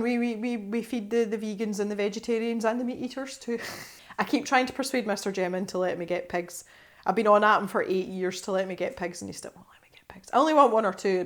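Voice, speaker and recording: A woman from the west coast of Scotland with a Scottish accent, chatting casually to camera as she answers viewer questions, laughing often and restarting her sentences. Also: a little high. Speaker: art channel host.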